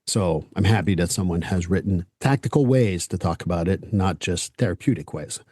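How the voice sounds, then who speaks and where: French Canadian male host, deep, blunt, casual swearing; dry close recording. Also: normal speed, a little mellow, breathy edge, dry recording. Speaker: podcast host. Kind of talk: casual interview chat.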